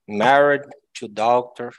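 Slow Brazilian man in his forties. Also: medium pitch, fairly even intonation. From Brasília, Brazil. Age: 40s. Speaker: participant. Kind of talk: casual online English practice chat. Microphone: video call.

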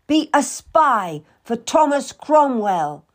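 Shrill, cruel young noblewoman, put on by a female reader; high, loud and deliberate. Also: very animated, full character voice. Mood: screaming. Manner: shrill, scolding, drawn-out accusations. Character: imperious young noblewoman, pregnant, volatile and cruel.

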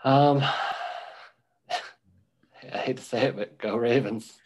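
A young man co-hosting a podcast, chatting casually about football in a rambling, halting way with many ums and restarts. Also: mid-range voice, husky. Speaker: podcast co-host. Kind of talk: casual sports chat.

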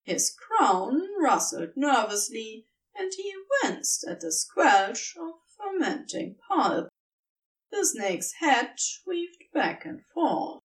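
A woman reading a fiction story aloud as narrator, in an expressive scripted storytelling style. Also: a little high, takes it slowly, far from the mic, poor audio.

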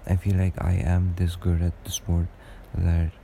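Deep, gravelly-voiced young man. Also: monotone, unhurried, mellow, a little hiss or hum. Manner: rambling and hesitant, many fillers and restarts. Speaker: podcast host. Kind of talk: casual solo podcast monologue.

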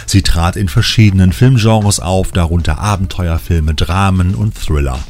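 A man's voice: sits low, moderate pace, darkish tone. A man hosting a magazine show, reading scripted narration. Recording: music bed behind the voice. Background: music.

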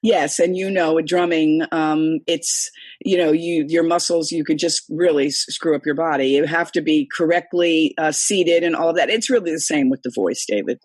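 Older woman, husky voice, dry close sound, chatty rambling interview guest. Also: sits low, moderate pace, darkish tone, no room sound. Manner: chatty, rambling storyteller with many fillers. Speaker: singer and guest. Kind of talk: casual interview conversation. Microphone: remote call, computer.

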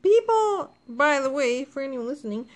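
Woman podcast co-host, high voice, talkative, quick to protest. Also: sing-song. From Ohio, USA. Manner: chatty, defensive, laughs often. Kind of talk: casual couple chat.

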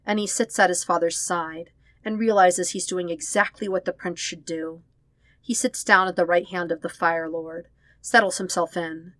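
Female podfic narrator, lower-pitched voice, very dry close sound. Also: dry recording. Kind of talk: scripted fan fiction narration.

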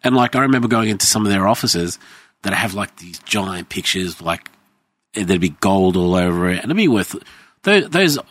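A man's voice: low-pitched, animated, normal speed, fairly bright tone, slightly rough, no echo. A man, telling rambling, swearing anecdotes in casual chat and acting out what people said.

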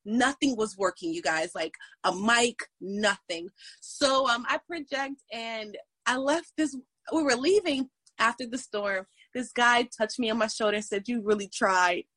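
A woman fitness instructor telling a story in casual chat, animated and laughing often. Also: on the high side, a little slow, husky.

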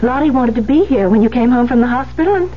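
A woman's voice: on the high side, lively intonation, fast, close to an everyday voice. An actress playing a devoted, soothing partner to a troubled man in a 1970s radio drama. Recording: dull hissy 1970s radio recording.

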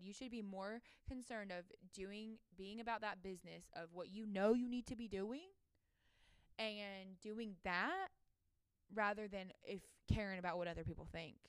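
Clear-voiced young woman, casual motivational podcast. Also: medium pitch, dry recording. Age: 20s. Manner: earnest, conversational, emphatic, uses "like" often. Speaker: solo podcast host.

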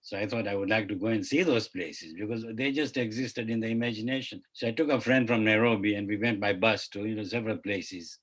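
A man's voice: fairly low pitch, fairly even intonation, brisk, hardly any pauses, fairly bright tone. An older man from East Africa, a novelist on a panel, reflecting through anecdotes with frequent conversational tags. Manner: often adds "you know".

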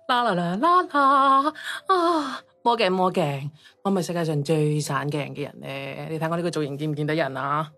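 Low-voiced, nagging middle-aged mum. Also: female voice, steady tone, fairly quick, faint music behind. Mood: playful, vain. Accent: Hong Kong Cantonese. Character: vain, chatty, nagging middle-aged mother. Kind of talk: radio drama acting.

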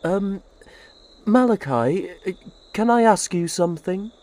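An actor playing a gentle, guilt-ridden older brother in a fantasy audio drama, speaking in a hesitant, earnest way. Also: male voice, high voice, measured pace. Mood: hesitant, troubled. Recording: insect ambience with steady background noise.

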